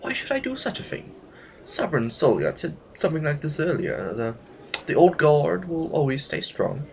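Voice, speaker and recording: A man playing a smug, haughty aristocrat in an audio drama, speaking formally with hesitant, deliberate pauses. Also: a little high, wildly swooping pitch, creaky, slight background noise, full character voice, poor audio. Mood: self-assured. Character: smug, haughty male aristocrat needling a rival general.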